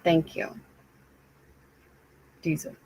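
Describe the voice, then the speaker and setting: A woman live streamer chatting casually with her viewers, sounding stuffy and raspy, rambling with lots of fillers. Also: a little mellow, a little hiss or hum, poor audio. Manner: slow, rambling, many fillers.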